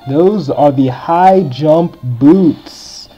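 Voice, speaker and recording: A young man with a deep voice giving casual, rambling commentary while playing through a retro video game. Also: drawn-out words, dark tone, husky. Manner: chatty, rambling, filler-heavy. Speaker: game walkthrough host. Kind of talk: casual let's-play commentary. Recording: dull lo-fi game recording. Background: faint game music.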